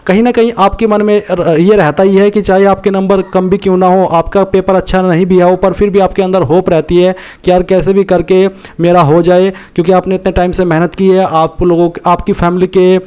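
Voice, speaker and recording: High, clear-voiced Indian man explaining to viewers. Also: level tone, normal speed, darkish tone. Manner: conversational, repetitive explaining with English words mixed in. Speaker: YouTube host giving exam information. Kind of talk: informal explainer addressed to viewers.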